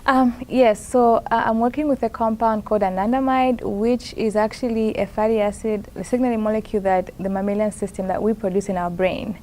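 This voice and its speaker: A young woman from Zambia, a master's student in plant science, answering interview questions in a hesitant, soft-spoken way with frequent fillers. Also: medium pitch, fairly even intonation, brisk, few pauses, slight background noise. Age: young adult. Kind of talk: conference interview, casual answers.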